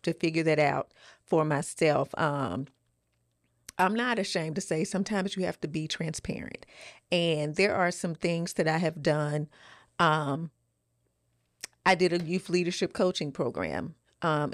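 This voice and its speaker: A woman's voice: low-pitched, no room sound, studio quality. A woman co-hosting a podcast, giving an earnest, encouraging motivational talk. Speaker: podcast co-host.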